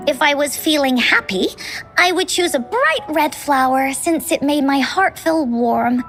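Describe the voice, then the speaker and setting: A high, bouncy little girl from an anime-style game, full of earnest imagination. Her delivery is childlike and excitable, swinging widely in pitch, with music playing behind her. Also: a little slow. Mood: bright, excited.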